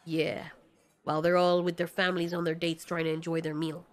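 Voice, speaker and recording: A woman's voice: lowish voice, level tone, a little fast, voice pushed down, close to an everyday voice. A woman playing a brash, hot-tempered male flying monster in an audio drama, sarcastic, dismissive and foul-mouthed.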